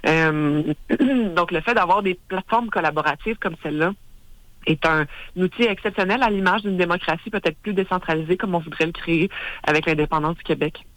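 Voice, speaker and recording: A woman's voice: fairly low pitch, fairly bright tone, no echo, low-quality recording. A woman with a Québécois accent answers on a radio show, speaking earnestly and stopping to restart her sentences. Her voice sits close and dry, with no room sound around it. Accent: Québécois French. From Quebec. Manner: earnest and explanatory, many hesitations and restarts. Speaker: guest, head of an independence organization. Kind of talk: live radio interview.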